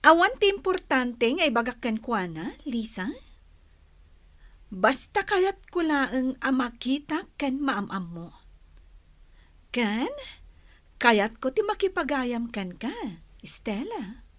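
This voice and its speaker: Sweet-talking rival for Ilocano radio drama: very high, gushing, unhurried. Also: female voice, sing-song, a bit bright, exaggerated voice. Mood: eager, coaxing. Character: Carla, sweet-talking woman courting her rival's friendship.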